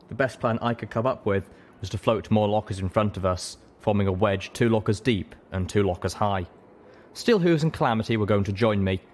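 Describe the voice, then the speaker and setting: A man reading a story aloud as a dramatic first-person audiobook narrator. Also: fairly low pitch. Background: music.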